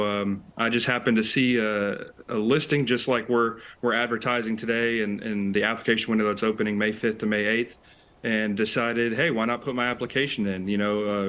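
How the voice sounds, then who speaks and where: An American man, roughly thirties to forties, answering conversationally as an interview guest and specialist. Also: on the low side, a bit bright. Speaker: interview guest, air traffic control specialist.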